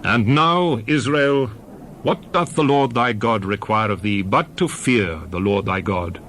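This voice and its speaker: A man playing a solemn prophet who exhorts his people in a dramatized scripture reading, speaking in measured, emphatic, oratorical phrases. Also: medium pitch, fairly bright tone, slight background noise. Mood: solemn exhorting.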